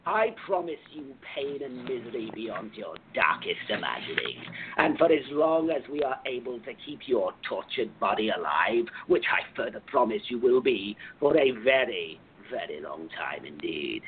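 A man playing the grandiose, menacing president of a diabolical club in a radio play, with drawn-out, emphatic delivery. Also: mid-range voice, sing-song, narrow, tinny audio, slight background noise.

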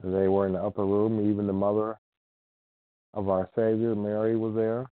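A man's voice: on the low side, little pitch movement, no room sound. A man from Ohio giving an informal, earnest sermon with scripture reading. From Ohio, United States. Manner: earnest, unhurried, repeats himself. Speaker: preacher. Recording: dry tinny phone-like sound.

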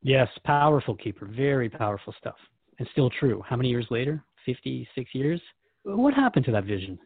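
A male podcast host talks in a reflective, questioning manner. His medium-pitched voice moves around expressively, and the sound is dry and close, as in an earnest one-to-one interview. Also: very animated, brisk, breathy edge, dry recording, narrow, tinny audio.

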